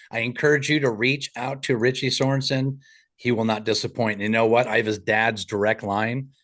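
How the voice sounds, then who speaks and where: A man hosts a live podcast call, friendly and encouraging as he introduces guests. He keeps the talk moving and builds excitement. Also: medium pitch. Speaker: podcast host. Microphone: remote call.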